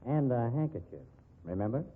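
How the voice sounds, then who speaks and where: Hard-boiled male investigator, cynical, rough-edged 1950s radio delivery with emphatic swings in pitch. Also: very animated, brisk. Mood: accusing, bullying. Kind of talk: 1950s radio drama acting. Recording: muffled, dull 1950s radio recording.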